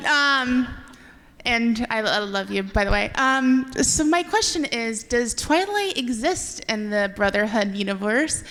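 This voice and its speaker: A young woman fan asking a question at a live event, nervous, giggly and halting. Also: on the high side, expressive, fairly bright tone. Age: young adult. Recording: reverberant hall with faint noise.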